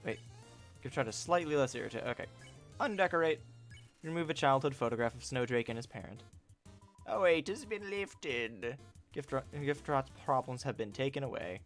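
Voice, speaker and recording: A man's voice: mid-range voice, animated, normal speed, soft background music. A man streaming a game with casual chat, reading on-screen text aloud and riffing jokingly on it. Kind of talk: casual livestream chat while playing a game.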